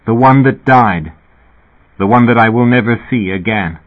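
A man voicing a despairing, timid middle-aged man who speaks quietly and hesitantly. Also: mid-range voice, normal speed, lightly acted. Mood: quiet, grieving. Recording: thin phone-like radio broadcast.

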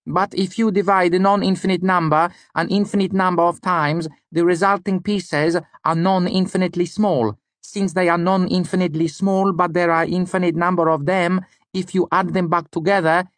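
A man voicing an earnest, methodical foreign student in an audiobook, explaining in a precise, deliberate way. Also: sits high, steady tone, no room sound, pitched above the performer's own voice, lightly acted. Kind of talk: audiobook character dialogue.

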